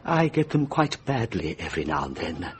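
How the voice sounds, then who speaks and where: A man's voice: deep voice, sing-song, moderate pace, a little hiss or hum. An actor playing a meek, troubled, absent-minded man in a radio drama, speaking hesitantly. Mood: weary, confused. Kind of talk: BBC radio drama acting.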